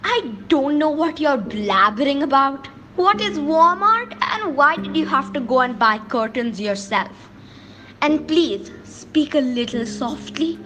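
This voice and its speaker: Woman as bright, high-voiced haughty countess. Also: lively intonation, slight background noise, exaggerated voice. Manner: formal, halting, theatrical. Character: haughty, genteel countess of a spooky hall. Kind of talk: amateur radio play acting.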